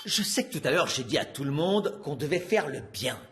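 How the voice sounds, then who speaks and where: Lively, cocky young cartoon cat. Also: male voice, fairly high pitch, fairly quick, over music. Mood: scheming, persuasive. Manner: emphatic, persuasive. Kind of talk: animated cartoon dub.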